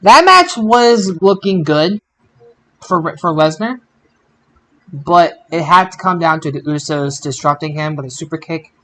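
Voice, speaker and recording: A podcast host recapping wrestling results casually, in a halting way that often repeats phrases. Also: mid-range voice, lively intonation, sharp tone. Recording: noisy amateur podcast audio.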